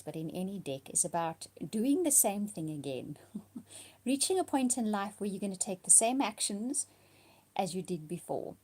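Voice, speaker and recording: A woman with a cold and a partly lost voice, giving a weekly tarot card reading, soft and slipping into whispers at times. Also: medium pitch.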